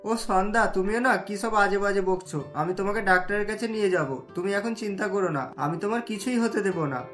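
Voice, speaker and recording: A voice actor plays a poor cartoon husband who deals in scrap, at a mid pitch, worried and earnest. He pleads and frets, and soft music plays underneath. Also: fairly quick, natural voice. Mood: anxious. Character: poor, desperate middle-aged scrap dealer husband.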